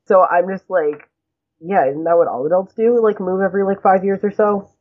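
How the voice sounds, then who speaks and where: A young man with a high voice, talking in a chatty, rambling way with lots of "like" and small laughs. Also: fairly even intonation, normal speed. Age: young adult. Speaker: guest, graduate student. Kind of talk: casual conversation. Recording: tinny phone-like husky sound.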